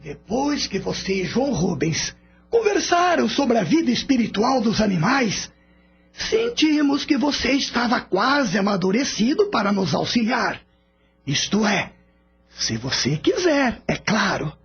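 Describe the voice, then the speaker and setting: An actor playing a patient, wise spirit mentor in a radio drama, explaining things slowly and solemnly in a husky voice. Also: male voice, high voice, very animated, full character voice. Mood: inviting, instructive. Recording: dull lo-fi audio with background music.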